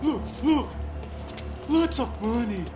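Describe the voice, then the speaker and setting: A frightened doctor in a video game cutscene, frantic and stammering. His voice sits very high and rings in a roomy, echoing space. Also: animated, slow, over music, heavily acted. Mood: desperate pleading. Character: terrified male doctor begging for his life.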